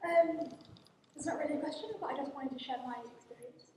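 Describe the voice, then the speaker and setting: A woman in the audience sharing her experience, halting with many ums and long pauses. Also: high-pitched, steady tone, dark tone, slight background noise. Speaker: audience member. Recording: echoey hall mic from far off.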